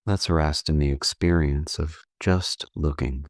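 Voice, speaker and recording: A man leading a guided meditation as a coach, calm and unhurried, gently instructive with long pauses. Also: very deep voice, no room sound, studio quality.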